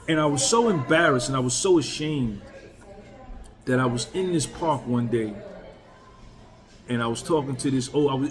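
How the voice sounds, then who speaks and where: A man in his mid-fifties speaks bluntly and emphatically, owning his errors in a casual, unpolished way. His voice sits at an ordinary middle pitch. Faint music plays quietly under him. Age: 50s. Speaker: YouTuber. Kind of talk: casual talk to camera.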